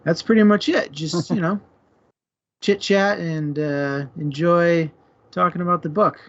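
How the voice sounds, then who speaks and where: A man's voice: a little high, unhurried, a bit bright. Casual man co-hosting, slightly muffled sound. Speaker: co-host of a book discussion show. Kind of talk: casual chat. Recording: dull, muffled lo-fi audio.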